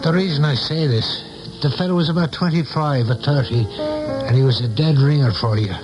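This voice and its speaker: An actor playing a concerned, probing American man, a confidant, with measured, deliberate delivery in a 1970s radio drama style. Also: fairly high pitch, highs cut off, music in the background, close to an everyday voice. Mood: puzzled.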